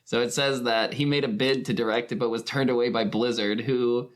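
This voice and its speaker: A man's voice: medium pitch, fairly even intonation, brisk. A man speaking as a podcast guest, explaining things casually with hesitations and tangents. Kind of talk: casual discussion.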